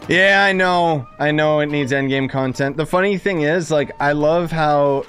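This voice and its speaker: A man's voice: fairly high pitch, normal speed, fairly bright tone. A man streaming a game with casual, opinionated, sardonic commentary. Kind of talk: casual live gameplay commentary. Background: game music.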